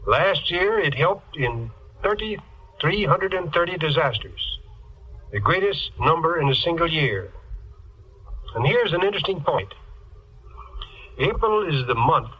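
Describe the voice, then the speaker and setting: A man giving a formal, deliberate scripted appeal in the manner of a 1950s radio address, on an old broadcast recording. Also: fairly high pitch, lively intonation, slightly rough, muffled recording. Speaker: charity official making a public appeal.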